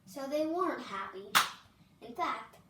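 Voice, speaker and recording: A child reading a picture book aloud and adding asides, with expressive, drawn-out words. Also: high voice. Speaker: storybook reader for a channel. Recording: distant mic, roomy echo, faint noise.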